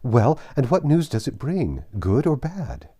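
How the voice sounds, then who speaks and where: A man voicing an eager, anxious young gentlewoman in an audiobook, urgent and exclaiming. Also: mid-range voice, big pitch swings, measured pace, breathy edge, no room sound, studio quality, voice pushed up. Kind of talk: audiobook character dialogue.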